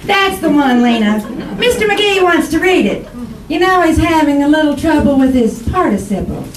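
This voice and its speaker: Fond, teasing sitcom wife played by a woman, very high sing-song voice, old-time radio style, roomy stage echo. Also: sing-song, moderate pace, crowd noise. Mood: patient. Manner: sing-song, gently correcting. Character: affectionate, teasing, sensible middle-aged wife. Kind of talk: 1940s radio comedy acting. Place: theater stage before an audience. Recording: echoey live stage, distant mic, noise.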